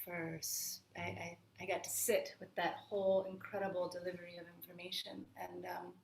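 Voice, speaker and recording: A woman's voice: fairly low pitch, level tone, normal speed, creaky, a little hiss or hum. A woman from Atlanta, talking earnestly on a video call from her family home and repeating words as she gathers her thoughts. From Atlanta, Georgia. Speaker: musician guest. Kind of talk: casual talk on a video call. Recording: roomy home echo with faint guitar.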